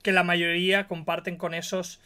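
Emphatic young man with a fairly high voice. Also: level tone, moderate pace, sharp tone, clean tone. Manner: emphatic, opinionated, building his case point by point. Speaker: co-host. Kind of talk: casual streamed chat. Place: dim home room.

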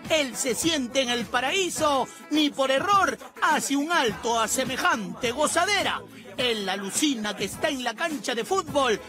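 A woman's voice: sits high, lively intonation, a little slow. A woman with a Peruvian Spanish accent narrating a gossip segment in a sarcastic, teasing voice-over. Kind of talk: mocking scripted voice-over narration. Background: loud reggaeton music.

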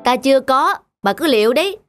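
A woman's voice: high-pitched, animated, fairly quick. A woman voicing a vain, haughty, poor male scholar in a cartoon folktale. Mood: haughty, threatening. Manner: boastful, haughty, demanding. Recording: music behind the voice.

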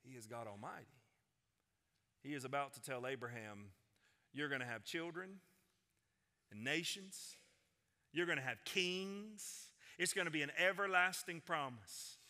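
A man in his forties, a senior pastor, preaching a sermon from a church stage, swinging between loud proclamation and hushed intensity. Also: on the high side, unhurried, pauses often. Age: 40s. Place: large church stage with piano and guitars. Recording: sanctuary with long reverb.

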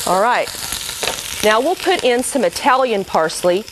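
A woman's voice: fairly high pitch, expressive, unhurried, background noise. Chatty woman demonstrating a recipe. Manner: chatty, homey, talks viewers through each step. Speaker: cooking show host. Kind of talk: cooking demonstration. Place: kitchen, cooking at the stove. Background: sizzling pan and stirring.

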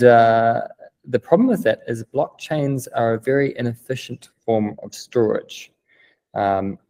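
New Zealand man, low voice, explaining. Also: darkish tone, low-quality recording. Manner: eager, explanatory, many "um" fillers. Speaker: guest, business developer. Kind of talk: remote video interview. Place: plain-walled room indoors.

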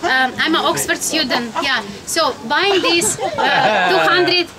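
A woman's voice: high-pitched, animated, takes it slowly. A woman in her thirties from Russia with a Russian accent, giving a chatty, informal travel lecture outdoors and often questioning her listeners. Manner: chatty, laughs often, asks the audience questions. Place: outdoors, sunny courtyard among high-rise buildings. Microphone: camera in front, at a few meters. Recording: outdoor talk with audience chatter behind.

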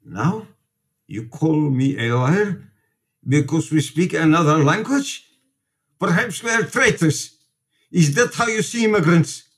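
Excitable priest, swooping intonation. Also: male voice, a little high, measured pace. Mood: defensive, indignant. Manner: careful, pleading, formal non-native phrasing. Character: protective, excitable, indignant immigrant priest. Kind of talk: dramatic radio play acting.

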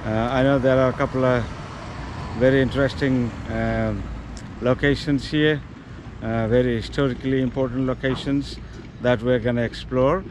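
A man's voice: medium pitch, steady tone, normal speed. A man in his fifties narrating a walking-tour travel vlog, hesitant with many ums, filmed on a handheld action camera outdoors. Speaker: travel vlogger. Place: outdoors on city streets. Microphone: action camera held at arm's length. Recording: outdoor street noise, traffic.

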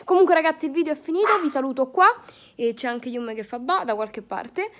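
A young woman with a high voice rants to her phone from bed. She is sarcastic and exasperated, and she peppers her speech with crude slang and fillers. The close phone mic makes it sound like a casual home vlog. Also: lively intonation, fairly quick, a bit bright. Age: young adult. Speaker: vlogger. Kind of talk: casual comedic rant to camera. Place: indoors, bedroom, lying on bed. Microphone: phone camera at arm's length.

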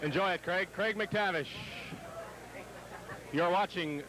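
A middle-aged man doing live post-game interviews as a sports broadcaster, pausing often, on a handheld mic in a locker room. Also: high voice, crowd noise. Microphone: handheld microphone.

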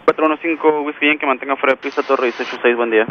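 A man giving brisk, formulaic clearances as an air traffic controller over aviation radio. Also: mid-range voice, steady tone, muffled recording.

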